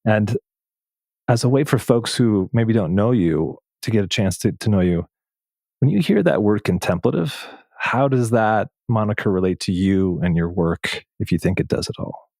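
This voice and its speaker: A man hosting a reflective interview podcast, speaking in measured, thoughtful phrases. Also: on the low side, lively intonation, vocal fry, a little mellow, no room sound. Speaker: podcast host.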